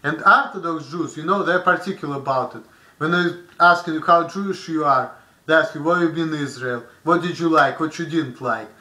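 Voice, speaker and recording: A man's voice: a little high, a little fast, bright, forceful tone, poor audio. Angry young man with Russian accent. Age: young adult. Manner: heated, profane, gesturing a lot. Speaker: vlogger. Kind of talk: angry webcam rant. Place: small bedroom, indoors. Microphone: webcam built-in mic.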